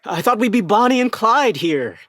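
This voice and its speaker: Animated, earnest younger brother. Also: male voice, high-pitched, moderate pace, no echo, studio quality. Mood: wistful. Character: earnest, hapless younger brother, anxious and idealistic. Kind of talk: audio play acting.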